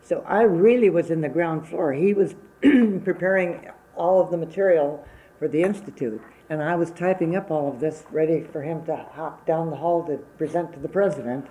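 A woman's voice: deep voice, moderate pace, warm tone, a little hiss or hum, poor audio. A woman in her seventies from Wisconsin whose first language was Czech, recalling her working life plainly and unhurriedly in an oral history interview. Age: 70s.

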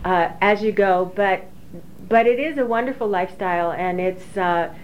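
A woman in her forties, an engineering professor, recalling her career slowly with many fillers and restarts. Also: medium pitch. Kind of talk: oral history interview. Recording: dull lo-fi recording with faint hiss.

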